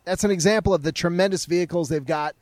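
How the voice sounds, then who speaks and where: Co-host, male, high-ish voice, brisk salesy live reads, dry booth sound. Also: dry recording. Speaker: co-host reading sponsor ads. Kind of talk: sports radio banter with live reads.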